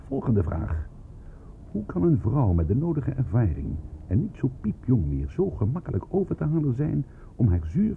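A man's voice: mid-range voice, vocal fry, slight background noise. A man playing a dry, worldly-wise police inspector in a radio play, probing and sardonic, with terse questions. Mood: probing, insistent. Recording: phone-like thin radio play recording with music.

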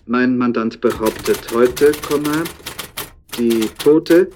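A woman playing a lawyer in a radio drama, dictating a brief in a deliberate, measured way. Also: rumbling low, background noise. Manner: measured dictation, speaking punctuation aloud. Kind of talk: scripted radio drama acting.

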